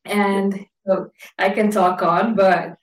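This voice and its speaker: A woman's voice: medium pitch, flat delivery, slow, poor audio. A woman from the Dutch Caribbean with an accent from the islands, hosting a podcast in a thoughtful, chatty way with plenty of fillers. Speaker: podcast host. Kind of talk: casual podcast chat.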